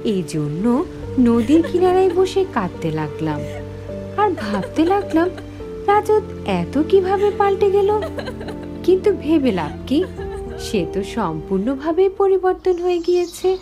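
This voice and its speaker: Wistful young village woman narrating a cartoon tale. High, very lively delivery, music underneath. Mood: hurt, sad. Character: Nina, wistful young village woman recalling lost childhood friend. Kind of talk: scripted first-person story narration for a cartoon.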